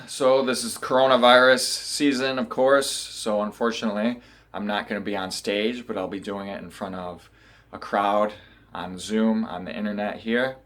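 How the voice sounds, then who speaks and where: A man in his room talking to camera about a competition, relaxed and earnest, pausing to think as he goes. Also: lowish voice. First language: English. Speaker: vlogger. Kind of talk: casual vlog talk to camera.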